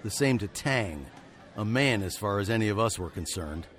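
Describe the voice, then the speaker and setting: Haunted frontier fugitive, lower register, wordy wry storyteller, radio drama, slight noise behind. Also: male voice, expressive, moderate pace, a bit bright. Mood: wry, weary. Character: haunted frontier hero turned weary fugitive, recalling adventures. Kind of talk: scripted first-person radio drama narration.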